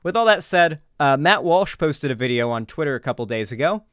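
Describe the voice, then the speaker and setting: A man's voice: a little high, animated, brisk, smooth, no room sound, studio quality. A man giving casual, unscripted political commentary in a blunt, emphatic way with casual swearing.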